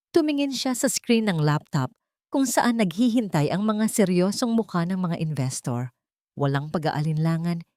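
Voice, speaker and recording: Expressive low-voiced Filipino woman narrating a story. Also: no room sound, clean studio sound. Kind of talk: dramatic scripted narration of a romance story.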